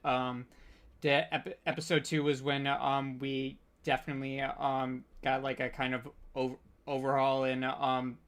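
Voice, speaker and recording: A man hosting an informal online panel, speaking in a halting, scattered and hesitant way. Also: mid-range voice, flat delivery, a bit bright, smooth. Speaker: panel host.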